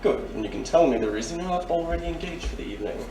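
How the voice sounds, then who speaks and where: A man's voice: on the high side, animated, very fast, dark tone. An actor playing a gallant, suave military major and lawman in a noir radio drama, polite and formal. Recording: roomy, distant, faint noise.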